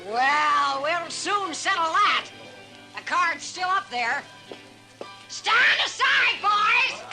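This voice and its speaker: An actress playing a brash, boastful saloon gunslinger in an old radio Western, with an American accent, swaggering folksy slang and a bright, forceful voice. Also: female voice, very high-pitched, exaggerated voice. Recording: old radio recording with faint music.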